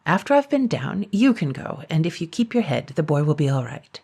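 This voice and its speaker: A woman voicing a polite, quick-witted male blacksmith, with a low husky voice. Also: very animated, a little mellow, exaggerated voice. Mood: brisk. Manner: polite, brisk. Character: humble clever village blacksmith, a man.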